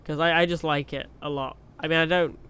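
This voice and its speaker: A man's voice: on the high side, fairly even intonation, brisk, bright, forceful tone, clean tone. A young man with a cold, chatting casually over a truck driving game, rambling with fillers and restarts. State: has a cold. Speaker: gaming YouTuber. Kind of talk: casual gameplay commentary.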